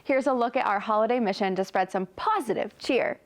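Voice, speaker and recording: A woman's voice: medium pitch, brisk. A woman anchoring TV news with scripted co-host banter.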